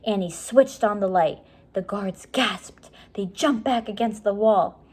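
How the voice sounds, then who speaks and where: A woman reading a children's chapter book aloud with measured, expressive storytelling. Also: medium pitch, husky. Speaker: story reader.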